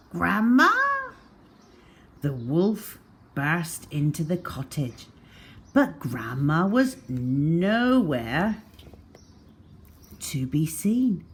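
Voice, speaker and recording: Very slow, animated woman storyteller. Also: lowish voice. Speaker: children's storyteller. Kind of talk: expressive storybook narration for young children.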